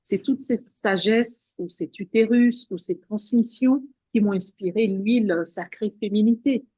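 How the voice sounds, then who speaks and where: An earnest woman guest talks at an ordinary middle pitch. She loops over her words and restarts her phrases. The sound is close and dry. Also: unhurried, mellow, no echo. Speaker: guest, women's wellness practitioner. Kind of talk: spiritual interview talk.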